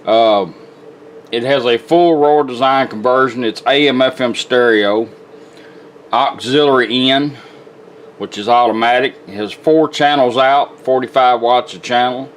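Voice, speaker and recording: A man's voice: medium pitch, measured pace, slight background noise. A plainspoken, folksy man giving a casual product demonstration as a radio restorer. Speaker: radio restorer presenting his work.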